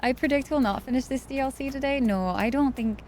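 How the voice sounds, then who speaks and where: A woman's voice: sits high, brisk, a little mellow, slight background noise. A tired woman chatting casually with her viewers while she streams a game late at night. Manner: chatty, thanks viewers and reads chat aloud. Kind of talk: casual chat while gaming live.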